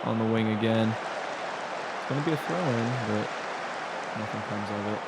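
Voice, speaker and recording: A man's voice: lowish voice, moderate pace, vocal fry. Chatty male color commentator, noisy. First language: English. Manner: chatty, analytical, excitable. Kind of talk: casual sim soccer commentary.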